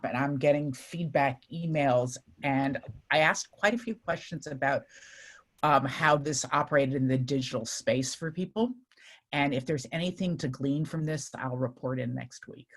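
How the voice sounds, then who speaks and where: A woman with a very low voice introduces herself on a video call. She is friendly but halting and pauses often on fillers. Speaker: festival director. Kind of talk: casual group check-in on a video call.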